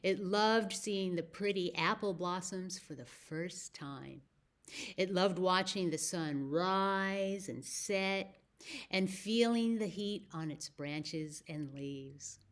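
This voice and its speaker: A woman with an American accent hosting a children's story time, speaking deliberately as if to young listeners. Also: fairly low pitch. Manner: slow, deliberate phrasing with questions to young listeners. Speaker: library story time host.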